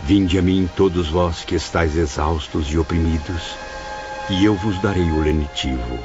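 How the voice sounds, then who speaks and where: A man performs a penitent male spirit in an audio drama, his voice very low and grave. He speaks in measured, literary phrases, lucid and reflective. The sound is dull and narrow, with music clearly underneath. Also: close to an everyday voice. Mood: solemn, remorseful. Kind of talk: audio drama acting and first-person narration.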